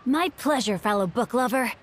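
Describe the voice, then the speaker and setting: A woman voicing a polite, bookish, chivalrous young gentleman in a video game, with courtly, eloquent phrasing. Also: on the high side, lively intonation, normal speed, slight background noise, lightly acted. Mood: courteous, eager.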